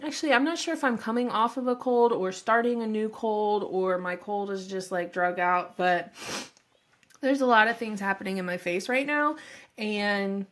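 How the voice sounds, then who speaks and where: A woman's voice: medium pitch, moderate pace, fairly bright tone. A middle-aged woman with a head cold, rambling shyly through the intro of her home vlog, with many fillers and asides, recorded on her own microphone in her home office. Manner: shy and nervous. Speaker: vlogger introducing her channel. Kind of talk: casual talk to camera.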